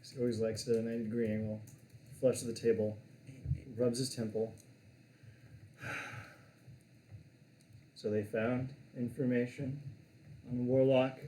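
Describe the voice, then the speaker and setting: A man's voice: mid-range voice, mellow, a little hiss or hum. Guy running a tabletop game, laid back rambling narration, echoey room. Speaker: game master.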